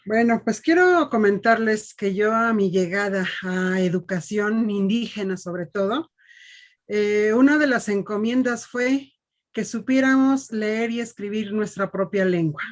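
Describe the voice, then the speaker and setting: A woman teacher telling her experience in a panel discussion, steady and earnest, from Mexico. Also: medium pitch, unhurried.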